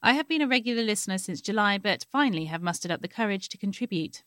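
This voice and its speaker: A woman reading a listener's email aloud on a podcast, in a steady, bright manner. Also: medium pitch, fast talker, no echo, clean studio sound.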